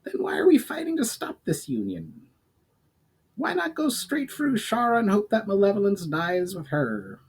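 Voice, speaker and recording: A man's voice: sits high, huge pitch swings, moderate pace, breathy edge, voice pushed up, full character voice. A man voicing an inquisitive, earnest goblin companion who speaks formally in a storybook reading. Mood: urgent. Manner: formal, questioning speech.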